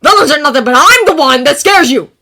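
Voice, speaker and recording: A woman voicing a furious teenage boy with an explosive temper, shouting and swearing in a fan fiction reading. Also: medium pitch, expressive, fairly quick, heavy background noise, voice pushed up, natural voice.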